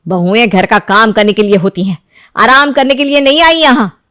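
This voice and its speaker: A woman's voice: fairly high pitch, moderate pace, a bit bright, no room sound, clean studio sound, lightly acted. A woman voicing a strict, domineering older mother-in-law in a cartoon, scolding harshly. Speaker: cartoon voice actor. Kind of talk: Hindi cartoon dubbing.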